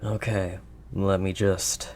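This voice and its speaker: A man voicing a gruff but kindly werewolf of the wild woods in an intimate audio roleplay, with a halting, gently protective manner. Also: low-pitched, little pitch movement, slight background noise. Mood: gentle, awkward. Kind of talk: audio roleplay, intimate second-person acting.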